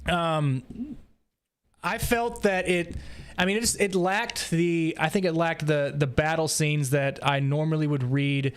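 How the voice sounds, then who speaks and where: A man hosting a casual roundtable discussion, feeling out his thoughts in a halting way. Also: fairly high pitch, steady tone, smooth. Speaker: host of a book discussion podcast.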